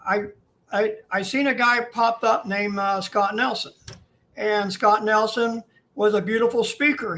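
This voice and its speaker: A man in his sixties from Ohio, a guest telling his own story in a plainspoken, halting, folksy way over a video call. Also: high voice, fairly bright tone. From Ohio, USA. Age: 60s.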